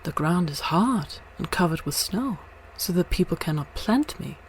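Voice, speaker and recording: A woman's voice: on the low side, expressive, normal speed, natural voice, low-quality recording. A woman voicing a naive young fir tree in a storybook, wistful yet hopeful, with background noise. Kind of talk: storybook character voice.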